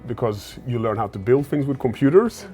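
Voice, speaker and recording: A man in his forties from Sweden, a startup founder speaking at a fireside chat interview, earnest and often pausing on "uh" and repeated words as he thinks. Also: medium pitch, expressive, mellow, soft background music. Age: 40s. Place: event stage at a fireside chat.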